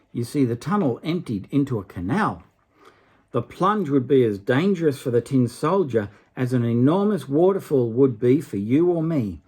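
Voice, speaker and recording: A man's voice: medium pitch, a little mellow, slightly rough. An older man reading a children's story aloud expressively, dramatically, with asides to a young listener. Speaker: storybook reader.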